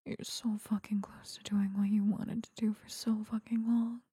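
A woman whispering a commanding audio roleplay as a domineering female teacher, in a breathy voice. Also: medium pitch, level tone, normal speed, mellow, no room sound, studio quality.

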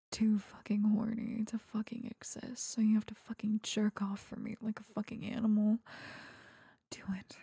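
A woman whispering a commanding audio roleplay as a domineering female teacher, in a breathy voice. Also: medium pitch, warm tone, no room sound, clean studio sound.

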